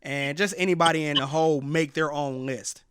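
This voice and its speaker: A man's voice: fairly high pitch, fairly even intonation, moderate pace, fairly bright tone, clear, resonant tone, no echo. Male radio DJ interviewer, clean resonant voice recorded dry, informal and straight-talking. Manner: informal, frank, slangy. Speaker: radio host and DJ interviewing an artist. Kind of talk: casual phone interview.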